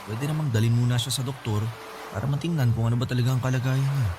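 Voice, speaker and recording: A man's voice: mid-range voice, fairly even intonation, fast, background noise, lightly acted. A man acting a concerned, level-headed young adult son with a Filipino accent, earnest and respectful, in a Tagalog radio drama. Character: concerned, level-headed grown son advising his mother. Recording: music behind the voice.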